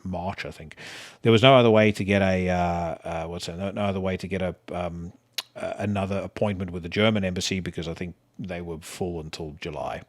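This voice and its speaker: Deep-voiced South African man rambling. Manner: swears freely, rambling with many fillers and restarts. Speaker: podcast host. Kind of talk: casual solo podcast chat.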